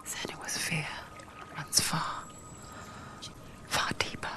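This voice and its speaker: A woman voicing an intimate, knowing inner voice that narrates a warrior's dread in a hushed, ominous, deliberate way for a dark fantasy game. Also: in a whisper, natural voice. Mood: knowing, brooding. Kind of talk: whispered dramatic narration. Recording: loud music bed behind.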